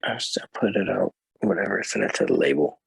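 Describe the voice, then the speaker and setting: A man with a naturally deep voice that sounds a bit muffled and slurred, chatting casually as an interview guest. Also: sing-song, fast talker, vocal fry, slightly rough. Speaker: rapper guest.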